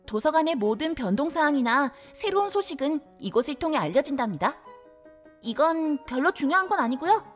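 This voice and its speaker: A woman acting in an audio drama as an excitable, kindly library director who guides a newcomer politely and with bubbly delight. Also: high-pitched, fairly quick, faint music behind. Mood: bright, welcoming. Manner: bubbly, polite, gushing over small things.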